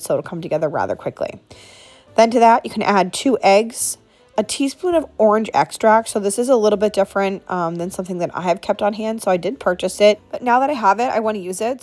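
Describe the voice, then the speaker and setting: A woman's voice: on the low side, darkish tone. A woman in her thirties hosting a home cooking video, talking casually through a recipe with lots of asides, her voice congested from a sinus infection. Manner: chatty, frequent "like" and asides. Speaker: cooking channel host.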